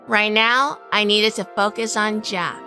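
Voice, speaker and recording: A woman's voice: mid-range voice, fairly even intonation, a little slow, pushed and bright, faint music behind. A woman playing a shrewd, independent gun-toting gangster's wife in a noir radio drama. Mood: calculating.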